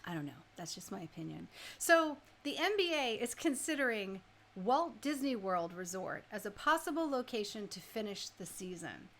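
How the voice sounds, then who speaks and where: A woman radio host chatting casually and informally, thinking out loud as she shares her opinions. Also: medium pitch, sing-song, moderate pace.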